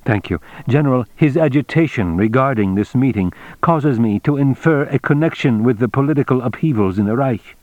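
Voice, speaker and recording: A man's voice: medium pitch, expressive, fairly quick, hardly any pauses, a little mellow, no room sound, highs cut off, pitched above the performer's own voice, lightly acted. A man voicing a deferential, watchful Japanese businessman in an audiobook, using stilted, formal phrasing. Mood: earnest, formal.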